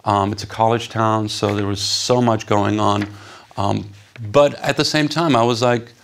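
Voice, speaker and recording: A man in his fifties from Poland, whose first language is Polish, giving an animated, conversational stage talk with many fillers to a seated audience. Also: lowish voice, a little slow, creaky, slight background noise. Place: stage before a seated audience in a dark hall.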